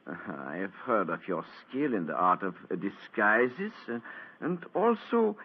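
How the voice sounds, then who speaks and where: An actor playing an anxious, courtly German count in weakened health, halting and formal, with a German accent, in an old radio play. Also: male voice, lowish voice, huge pitch swings, slightly rough, full character voice. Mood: earnest, urgent. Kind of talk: old radio drama acting. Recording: muffled, band-limited old radio recording.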